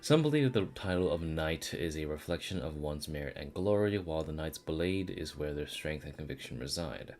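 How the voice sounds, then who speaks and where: A man's voice: very deep voice, lively intonation, brisk, hardly any pauses, darkish tone. A man streaming a video game in a casual, informal way, thinking aloud and swearing now and then. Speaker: game livestreamer. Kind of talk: casual stream chat with gameplay commentary. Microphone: streaming microphone. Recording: music playing behind the voice.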